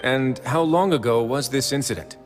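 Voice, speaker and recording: A man voicing a confident, easygoing prison warden in a video game, speaking in a relaxed, persuasive, assured way. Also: medium pitch, normal speed, long reverb tail, faint music behind, natural voice.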